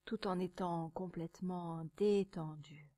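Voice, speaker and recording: A woman teaching yoga nidra, guiding a meditation in a calm, measured, soothing way, with a soft, sometimes breathy voice and pauses between short phrases. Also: lowish voice. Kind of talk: guided meditation.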